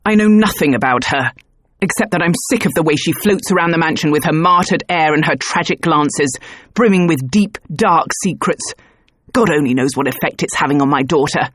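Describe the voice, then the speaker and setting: Casting: cold, hard gentleman, voiced by a woman, lowered voice, husky. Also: moderate pace, no room sound, clean studio sound. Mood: harsh. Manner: harsh, clipped. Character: stern man, harsh and cold.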